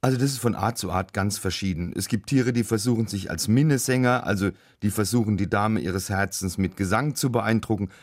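A man with a cold and a rough, husky voice, explaining things as a biologist guest on a radio show. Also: lowish voice, brisk, few pauses. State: has a cold. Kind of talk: explanatory chat.

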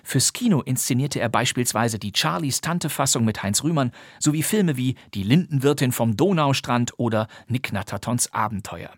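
A man with a husky voice hosting a podcast, reading a scripted presentation of radio plays. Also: medium pitch, expressive, moderate pace, hardly any pauses, no echo, studio quality. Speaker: podcast host.

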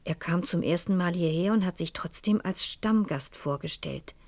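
An actress playing a grave, anxious middle-aged lady in a radio play, speaking in a measured, formal and brooding way. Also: lowish voice, darkish tone, no room sound. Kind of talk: 1970s German radio play acting, part narrated monologue.

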